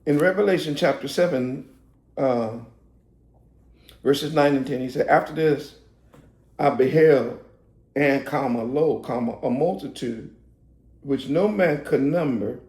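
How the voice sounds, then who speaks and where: A man preaching a casual sermon, swinging from loud, laughing, shouted asides to slow, drawn-out scripture reading. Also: medium pitch, reverberant. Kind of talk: street-style sermon teaching.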